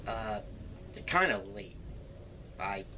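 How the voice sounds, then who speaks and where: A man hosting his own comedic movie review show, retelling a plot with crude, animated energy and swearing. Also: on the low side, takes it slowly, slight background noise. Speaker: film reviewer, show host.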